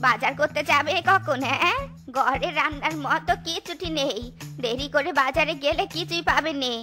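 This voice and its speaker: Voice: high voice, normal speed, music in the background, close to an everyday voice. A performer voicing a nagging, fed-up animal housewife in a Bangla comedy cartoon, with scolding, rustic turns of phrase. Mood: scornful nagging.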